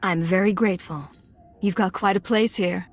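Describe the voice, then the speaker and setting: A woman's voice: mid-range voice, steady tone, normal speed, natural voice. A woman playing a cool, self-assured undercover agent in a video game, speaking in terse, confident lines. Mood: confident, guarded. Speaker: voice actor in a game. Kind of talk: video game cutscene acting.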